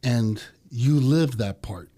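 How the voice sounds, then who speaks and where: Mellow-voiced middle-aged male podcast host. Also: medium pitch, takes it slowly. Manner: chatty, leading questions. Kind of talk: casual interview hosting.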